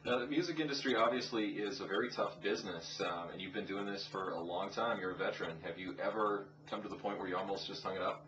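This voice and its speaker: A man's voice: low voice, fast talker, hardly any pauses, a crowd in the background. A man working as an interviewer, asking questions in a casual conversational style. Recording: dull, distant, faintly noisy interview audio.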